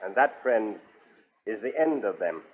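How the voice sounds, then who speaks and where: An actor playing a grave, fatalistic prince and loyal companion in a 1930s radio adventure serial. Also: male voice, on the low side, expressive, unhurried, slightly rough. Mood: grim. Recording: tinny, noisy 1930s radio recording.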